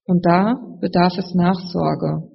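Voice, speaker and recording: A preacher with a slight cold and a husky, gravelly voice, giving a sermon in a measured, explanatory way to a congregation. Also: medium pitch, steady tone. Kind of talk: sermon with scripture reading. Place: large reverberant room, live address. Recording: muffled, long hall reverb.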